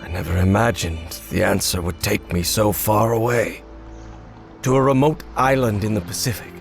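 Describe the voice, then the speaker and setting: An actor playing a guilt-ridden older professor in a video game, speaking in a halting, grave, confessional way. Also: male voice, fairly low pitch, gravelly. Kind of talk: dramatic video game acting.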